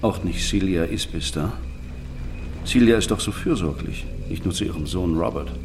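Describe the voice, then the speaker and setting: An actor playing a calm island police detective in a radio drama, speaking in a measured, understated way. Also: male voice, low voice, music in the background, lightly acted. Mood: probing. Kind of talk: naturalistic German radio drama dialogue.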